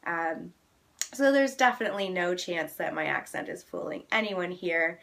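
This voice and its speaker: A woman's voice: on the low side, animated, moderate pace, creaky, a bit bright. A young woman from Canada with a Canadian English accent, chatting casually to camera in a friendly way with frequent ums. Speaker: vlogger.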